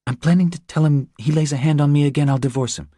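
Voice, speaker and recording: A man voicing a weary, determined but frightened young mother with an earnest, hushed delivery, in an audiobook. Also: a little high, fast, no room sound, clean studio sound, pitched above the performer's own voice, natural voice.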